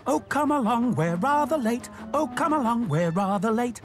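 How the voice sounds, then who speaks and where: A man voicing a cheerful, hurried steam engine from a children's storybook in a singsong chant. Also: very high voice, lively intonation, soft background music, pitched above the performer's own voice.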